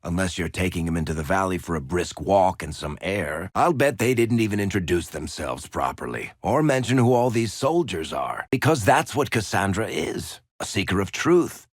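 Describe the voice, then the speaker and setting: Wry dwarf smuggler from a video game, low voice, glib n teasing, really lively delivery, dry close sound. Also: male voice, normal speed, hardly any pauses, no echo. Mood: dry, probing. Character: wry dwarf rogue storyteller, shifty charming smuggler type. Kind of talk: video game character dialogue.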